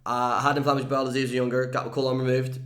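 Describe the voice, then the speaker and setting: A man's voice: mid-range voice, flat delivery, fast, a bit bright, roomy sound. A young man with a Northern Irish accent, a YouTuber chatting casually and telling stories as an interview guest. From Northern Ireland. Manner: chatty, storytelling, swears casually.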